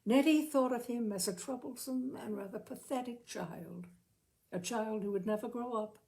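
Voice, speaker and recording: A woman narrating a literary short story expressively, with measured, careful phrasing. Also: a little high, creaky, darkish tone. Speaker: audiobook reader, storyteller.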